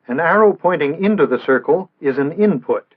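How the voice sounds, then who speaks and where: An American man narrating a 1960s instructional film in a measured, didactic way with precise enunciation. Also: fairly high pitch, lively intonation. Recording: band-limited, tinny vintage film soundtrack.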